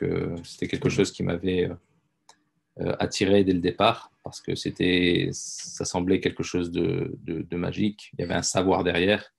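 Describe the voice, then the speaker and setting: Middle-aged guy, low gravelly voice, lots of euh and restarts. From France. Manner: hesitant, many fillers and repeated words. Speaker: guest, intensive care doctor. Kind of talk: casual interview talk.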